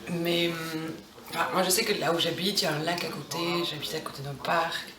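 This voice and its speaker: A woman's voice: sits low, fairly even intonation, fairly quick. A French woman in her thirties answering interview questions casually, with many restarts. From France. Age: 30s. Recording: roomy, distant, crowd behind.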